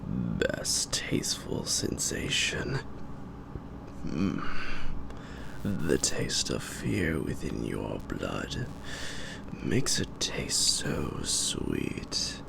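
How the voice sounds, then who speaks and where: A man playing a suave, menacing vampire in a roleplay audio drama, with theatrical, taunting, old-fashioned formal speech and a gravelly, breathy voice. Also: rumbling low, full character voice. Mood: savoring, gloating. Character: suave, menacing male vampire, gentlemanly and sadistic predator.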